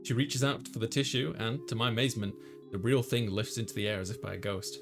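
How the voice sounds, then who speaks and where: A man's voice: fairly low pitch, steady tone, quick pace. A man reading a visual novel's narration and dialogue aloud in a dramatized way as he plays. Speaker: let's player voicing a visual novel. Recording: music playing behind the voice. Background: clear background music.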